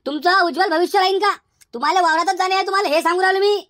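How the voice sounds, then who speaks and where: Male actor as cartoon schoolteacher, Varhadi Marathi accent, hectoring, repetitive, high pitched-up voice, fast, dry sound. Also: pushed and bright, no echo. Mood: scolding, despairing. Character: exasperated, scolding male village schoolteacher. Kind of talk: cartoon comedy dialogue.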